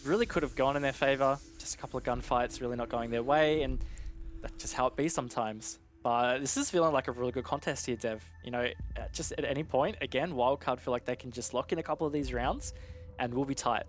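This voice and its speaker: A man's voice: mid-range voice, fairly quick. A man giving live analytical commentary on an esports match in a conversational, explanatory way.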